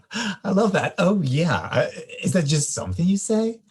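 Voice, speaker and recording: A man's voice: sits high, sing-song, unhurried, husky, heavily acted. A man playing a cool, aloof, trendy young man with a hesitant, polite manner in a screenplay table read. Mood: casual, curious.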